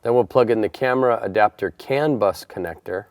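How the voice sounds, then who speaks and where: Guy with a lowish voice walking thru an install step by step, plain n methodical, dry close sound. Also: a little fast, no echo. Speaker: installer presenting a how-to tutorial. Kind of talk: step-by-step instructional narration.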